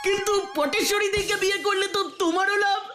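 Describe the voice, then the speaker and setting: A woman voicing a cheeky, eager young pal in a cartoon, loud and forceful. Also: very high voice, steady tone, fast talker, a little hiss or hum. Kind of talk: exaggerated cartoon voice acting.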